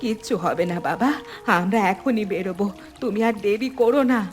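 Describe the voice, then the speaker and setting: A woman's voice: medium pitch, moderate pace, soft background music, close to an everyday voice. A woman playing a practical, determined village mother in a cartoon, speaking firmly and urgently. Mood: worried, protective. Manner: firm, urging. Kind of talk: cartoon dialogue.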